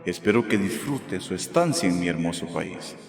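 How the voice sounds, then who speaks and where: Guy doing sinister polite count for a radio play, low voice, big cavernous reverb, music behind. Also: expressive, creaky. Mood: courteous welcome. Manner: formal, measured, courteous. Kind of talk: radio drama letter read aloud.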